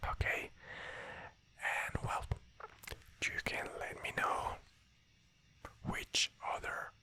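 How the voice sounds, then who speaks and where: Teacher whispering a lesson, bright airy whisper, calls you class. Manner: soft whisper, repeats words in pairs, calls listeners class. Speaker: Spanish teacher. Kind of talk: whispered ASMR language lesson.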